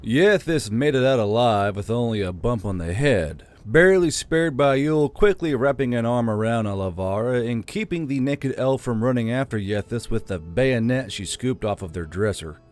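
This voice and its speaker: A man narrating a fantasy novel in a steady storytelling manner, with dry, flat touches. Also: mid-range voice, moderate pace, few pauses. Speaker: audiobook narrator.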